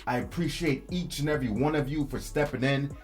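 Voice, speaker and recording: A man's voice: medium pitch, brisk. A man hosting a vlog, giving casual, enthusiastic opinion commentary to viewers in slangy fan talk. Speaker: host of a video game and movie news channel. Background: background music.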